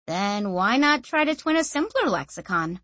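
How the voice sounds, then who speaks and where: A woman's voice: medium pitch, sing-song, normal speed, a bit bright, no echo. A woman voicing an ancient sentient object that talks through a young woman's body in a casual, slangy, breezy way. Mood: frank, explaining. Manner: casual, slangy, matter-of-fact explaining.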